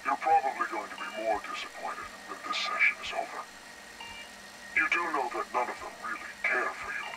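An actor playing a smug, menacing intelligence director in a video game, speaking with condescending, deliberate taunts. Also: male voice, lowish voice, lively intonation, very noisy, close to an everyday voice. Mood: needling. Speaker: interrogator. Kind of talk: dramatic video game voice acting.

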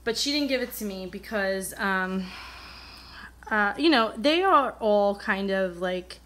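A woman's voice: mid-range voice, lively intonation, slow pace, fairly bright tone, a little hiss or hum, poor audio. An American woman with a slight cold, telling a personal story in a casual, candid, rambling way and acting out remembered dialogue. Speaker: vlogger, coloring channel host. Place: indoors at a table, coloring on camera.

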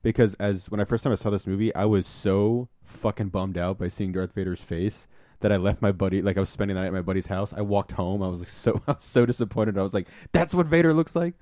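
A man's voice: lowish voice, fast talker, mellow, no echo, clean studio sound. A man co-hosting a casual film commentary, chatting like a fan and swearing freely. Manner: profane, chatty fan reminiscing. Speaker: podcast co-host.

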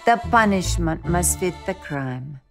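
A woman reading as an old village witch grandmother in a children's storybook. She is calm and firm, speaking slowly and with measured authority, with music behind her. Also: on the low side, creaky. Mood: calm, stern.

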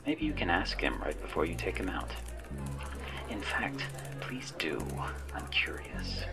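A man playing a sly, menacing, all-knowing cyberpunk handler in an ASMR roleplay, with a whispery, gravelly voice and a sardonic, teasing manner. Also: low-pitched, wildly swooping pitch, loud music behind the voice, heavily acted. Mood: wry, coolly menacing. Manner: slow-burning, sardonic, teasing.

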